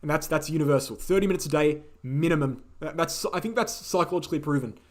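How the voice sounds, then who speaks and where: A man's voice: a little high, lively intonation, fast talker. Opinionated man hosting a live Q&A. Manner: opinionated, repeats key phrases for emphasis. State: slightly croaky voice. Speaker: host answering viewer questions. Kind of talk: casual livestream Q&A.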